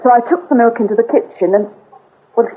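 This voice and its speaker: A woman's voice: fairly high pitch, fast talker, husky, phone-quality sound. Nervy young British woman, thin radio sound. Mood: reflective. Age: young adult. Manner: hesitant, well-mannered, apologetic. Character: polite, nervy young vicar's daughter, murder witness. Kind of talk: radio drama acting. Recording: thin band-limited radio recording with hiss.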